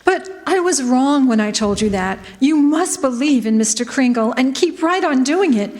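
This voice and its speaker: A woman playing a caring, sensible mother in a stage radio drama, earnest and expressive. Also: sits high, moderate pace. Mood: earnest, soothing. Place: college campus stage performance in a hall. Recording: echoey hall.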